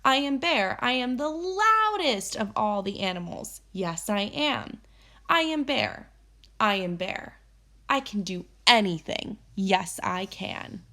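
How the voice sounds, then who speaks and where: A woman voicing a big, boastful, stubborn bear in a children's storybook, making loud, emphatic, chanting declarations. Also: medium pitch, expressive, slow pace, heavily acted. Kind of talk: children's storybook read-aloud.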